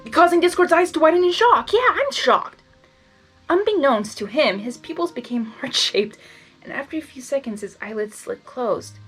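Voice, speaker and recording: A woman's voice: high voice, lively intonation, moderate pace, a bit bright. A woman reading fan fiction aloud and breaking off to give her own reactions, often stumbling over words and interrupting herself. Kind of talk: blind reading with casual commentary. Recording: background music under the voice.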